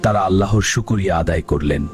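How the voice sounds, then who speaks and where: A man's voice: sits low, brisk. A man reading a scripted, dramatic story as narrator. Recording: loud background music.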